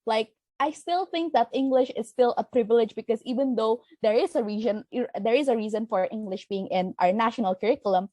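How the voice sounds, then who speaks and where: A woman's voice: fairly high pitch, brisk, hardly any pauses, dark tone, dry recording. A woman from Indonesia, earnestly explaining her reasoning step by step as a panelist. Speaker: discussion panelist. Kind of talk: debate-style discussion.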